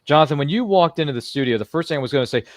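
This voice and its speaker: Fast-talking man hosting a chat show. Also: a little high, expressive, no room sound, clean studio sound. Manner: chatty, jokey, rambling, quotes films. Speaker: host of a live-streamed interview show. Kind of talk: casual chat.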